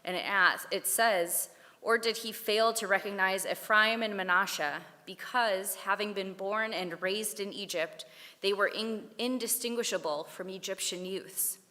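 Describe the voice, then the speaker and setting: A woman giving a prepared Torah talk at a service, with a measured, deliberate delivery. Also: mid-range voice, sharp tone. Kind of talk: prepared sermon read aloud. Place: synagogue sanctuary. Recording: long hall reverb.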